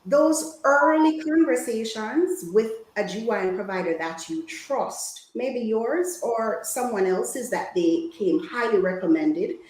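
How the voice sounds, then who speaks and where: A woman's voice: a little high, a little mellow. A woman from Jamaica giving an informal, preacher-like health talk, engaging her listeners with questions. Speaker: guest women's health speaker. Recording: echoey room sound.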